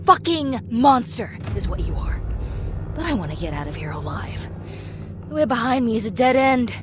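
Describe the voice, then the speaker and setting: Tough, blunt female convict, played by an actress, highish voice, very expressive, sarcastic, scored with music. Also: moderate pace. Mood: defiant, urgent. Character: tough, sardonic female prisoner in a deadly game. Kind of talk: audio drama acting. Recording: music under the voice.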